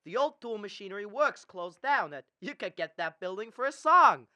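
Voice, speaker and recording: Teenage boy acting a bright-voiced, restless young man. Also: high-pitched, lively intonation, normal speed, no echo, studio quality, heavily acted. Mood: hesitant, curious. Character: restless, stubborn young small-town man in love. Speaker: student actor. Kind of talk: radio drama acting.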